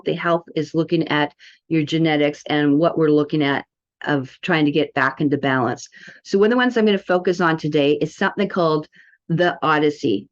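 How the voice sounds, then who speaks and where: A woman giving a casual product demo, chatty and enthusiastic as she speaks directly to viewers. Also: sits low. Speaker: presenter demonstrating a health product. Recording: dull, lo-fi sound.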